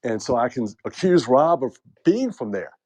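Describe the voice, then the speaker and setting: American man, casual host, rambling, joking, mid-pitched voice. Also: big pitch swings, normal speed, warm tone. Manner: rambling and joking, with many fillers. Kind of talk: casual group chat.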